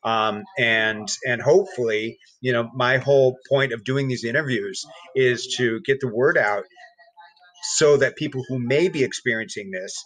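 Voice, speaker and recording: A man's voice: mid-range voice, normal speed, a bit bright, poor audio. A man talking reflectively and earnestly as a podcast guest, often repeating words as he feels out his point. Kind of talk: reflective conversational talk.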